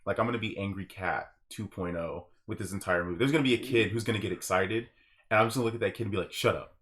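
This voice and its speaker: A man's voice: on the low side, quick pace, a bit bright. A man chatting casually on a group podcast as a host, explaining his opinions.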